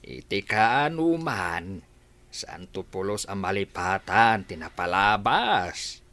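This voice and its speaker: A man's voice: on the low side, big pitch swings, slow, pushed and bright, exaggerated voice. A man acting in an Ilocano radio drama as a puzzled, reflective old grandfather. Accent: Filipino, Ilocano. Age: older.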